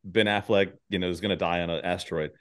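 A man's voice: low-pitched, fairly even intonation, fast talker. A man hosting a podcast in casual, joking conversation, rambling along with goofy enthusiasm. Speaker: podcast host.

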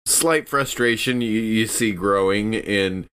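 A man's voice: fairly low pitch, takes it slowly, a bit bright, slight background noise. A man casually narrating what his tabletop game character thinks and does.

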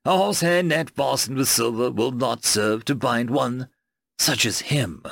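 A man playing a mild, fussy bureaucrat in an audiobook, speaking in precise, formal, gently cautioning sentences. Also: mid-range voice, unhurried, creaky, no echo, studio quality. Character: mild, fussy, unthreatening bureaucrat negotiator from London. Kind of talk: audiobook character voice.

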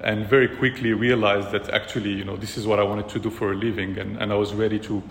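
A man from Morocco speaks in a fairly low voice, calmly recounting his career as a podcast guest. He talks reflectively, with frequent "you know" fillers. The recording has a long, roomy reverb and faint background hiss. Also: vocal fry. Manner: measured, reflective, many fillers. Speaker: startup co-founder, guest. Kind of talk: casual interview.

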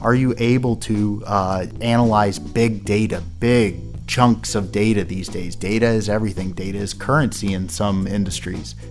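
Enthusiastic Midwestern man, low voice. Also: normal speed, few pauses, soft background music. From Midwestern United States. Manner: energetic, uses rhetorical questions and emphatic phrasing. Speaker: career centre manager, guest. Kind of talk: casual interview answers.

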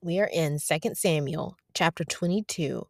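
A woman hosting a devotional podcast, preaching casually from her parked car, talking to listeners as y'all, her voice going a little raspy. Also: low voice, moderate pace. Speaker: podcast host.

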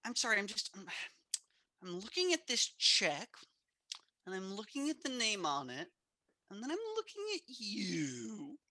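A man's voice: high-pitched, big pitch swings, measured pace, bright, forceful tone, no echo, studio quality, full character voice. A man playing a squeamish, apologetic bank teller who halts and groans through an improv comedy sketch. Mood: queasy, apologetic. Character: squeamish, apologetic male bank teller, nauseous under pressure.

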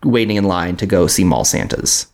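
A man's voice: low-pitched, moderate pace, darkish tone. An American man chatting casually as a podcast host, joking and riffing playfully. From Minneapolis, United States. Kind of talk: casual comedic chat.

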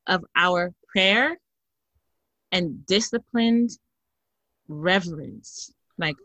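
A woman's voice: fairly low pitch, pushed and bright, no room sound, poor audio. A woman podcast host, affirming her guest and speaking with preacherly repetition. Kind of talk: casual chat.

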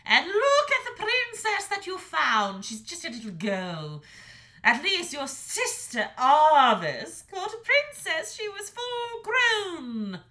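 A towering mother dragon scolds at a shriek, pitching high and loud, as a woman reading a storybook puts her on. Her voice is bright and piercing, full of scorn. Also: very animated, takes it slowly, full character voice. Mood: scolding. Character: huge angry female dragon mother, scolding.

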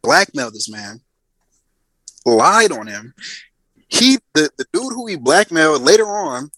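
A man's voice: fairly high pitch, sing-song, measured pace, pushed and bright, no room sound, poor audio. A man in his thirties, a podcast guest, telling a story in emphatic casual chat and repeating lines for effect. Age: 30s.

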